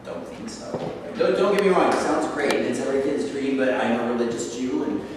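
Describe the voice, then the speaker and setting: A man's voice: medium pitch, expressive, brisk, crowd noise, close to an everyday voice. Man playing earnest devout student athlete, echoey hall. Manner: hesitant, earnest. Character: earnest, devout, polite teenage yeshiva basketball star. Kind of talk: staged reading of a play. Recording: very echoey hall, distant mic, noisy.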